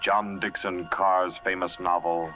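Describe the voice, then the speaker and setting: Grand 1940s radio announcer, low-pitched man. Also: level tone. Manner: grand, deliberate, formal. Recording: muffled old radio broadcast, loud music.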